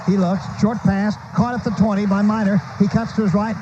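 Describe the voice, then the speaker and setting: A man's voice: high voice, flat delivery, a little fast. An American man doing live radio play-by-play of a football game, speaking in clipped running phrases that swell on big plays. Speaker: play-by-play announcer. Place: stadium broadcast booth. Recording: muffled noisy old radio broadcast.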